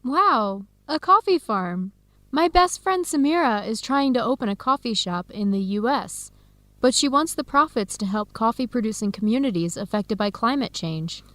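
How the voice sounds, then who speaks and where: A friendly, earnest young woman researcher in a radio drama. Her voice is high and very expressive, with a chatty, inquisitive manner. The recording is close and dry. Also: normal speed, no room sound. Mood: chatty, confiding. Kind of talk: educational radio drama acting.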